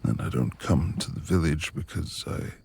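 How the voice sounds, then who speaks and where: A man playing a reclusive, brooding lord of a manor in an intimate ASMR romance roleplay, speaking formally and haltingly in a gravelly, husky, hushed voice. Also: rumbling low, expressive, full character voice. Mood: guarded. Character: reclusive brooding male manor lord, tender beneath gruff exterior.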